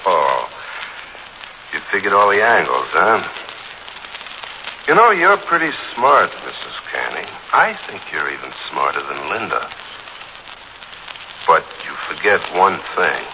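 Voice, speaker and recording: An American actor playing a menacing gangster in a 1940s radio thriller, taunting with mock politeness and a sneer. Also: male voice, low-pitched, sing-song, a little slow, long pauses. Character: menacing wounded gangster holding a mother hostage. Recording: muffled, noisy 1940s radio recording.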